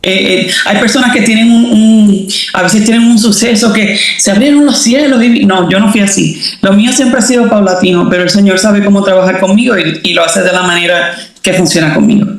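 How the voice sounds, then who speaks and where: Puerto Rican woman guest, easygoing storyteller, boomy echoing room. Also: fairly quick. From Puerto Rico. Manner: relaxed, many fillers and restarts. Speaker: interview guest, doctor. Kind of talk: casual faith testimony.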